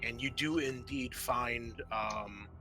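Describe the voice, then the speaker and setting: A man improvising narration as game master of a tabletop game, halting and conversational. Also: mid-range voice. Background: background music.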